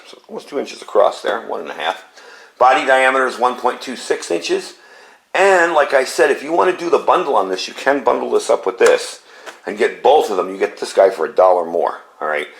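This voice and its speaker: A man's voice: mid-range voice, a bit bright, roomy sound. A man reviewing a flashlight in a casual, chatty way, with a scratchy voice from a cold, often checking in with "okay?". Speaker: product reviewer. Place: home garage and yard.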